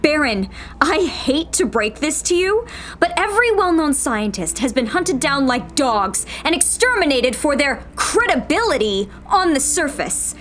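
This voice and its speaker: A woman's voice: high voice, bright, forceful tone, heavily acted. A woman acting a blunt, challenging rebel in a sci-fi radio drama, pointed and insistent. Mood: exasperated.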